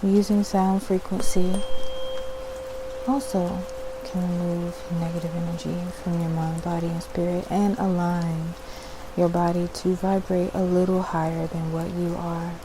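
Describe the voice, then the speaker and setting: A woman speaking gently and reassuringly in an ASMR style, as a spiritual healer guiding a cleansing. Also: lowish voice, level tone, noisy background. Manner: gentle, reassuring, repeating words slowly. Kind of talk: ASMR, soothing guided cleansing.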